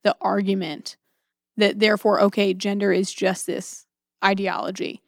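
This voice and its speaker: A woman's voice: mid-range voice, little pitch movement, normal speed, vocal fry, no echo. Woman, mid-range voice, earnest and reflective, conversational faith discussion, repeats phrases for emphasis, dry sound. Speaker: co-host of a book discussion series.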